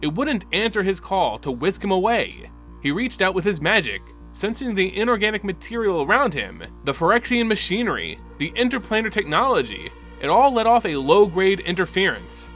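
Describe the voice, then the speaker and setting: Male lore narrator, slightly high, lively emphatic read. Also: moderate pace, soft background music. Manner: animated, emphatic storytelling. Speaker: lore channel host. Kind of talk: dramatic story narration.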